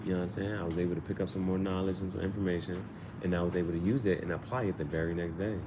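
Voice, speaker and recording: A man's voice: deep voice, fairly even intonation, rapid-fire. Guy chatting on a livestream, slangy, swears a bit, circles back on his words, noisy hum behind him. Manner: slangy, profane, repeats phrases while thinking aloud. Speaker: amateur tarot reader and channel host. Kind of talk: casual livestream storytelling.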